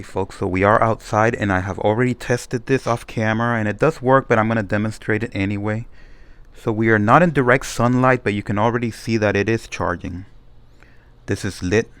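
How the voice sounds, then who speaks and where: A man's voice: on the low side, fairly bright tone, clear, resonant tone. A man giving a casual, unscripted hands-on demonstration as a product reviewer, narrating each step and often repeating himself.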